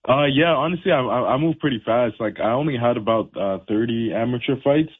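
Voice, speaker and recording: A man's voice: mid-range voice, a little fast, slightly rough. A young man from Haiti, a professional boxer, talking casually in an interview with lots of fillers and restarts. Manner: laid-back, many fillers and restarts. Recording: tinny phone line.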